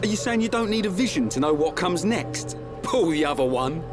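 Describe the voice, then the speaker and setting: Brash young male sidekick: working-class English accent, loyal, blunt, fairly high voice, game cutscene over music. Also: expressive, a little fast. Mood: disbelieving. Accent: British, working-class English. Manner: blunt, colloquial. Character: brash, loyal, blunt young man, hero's best mate. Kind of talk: video game cutscene voice acting.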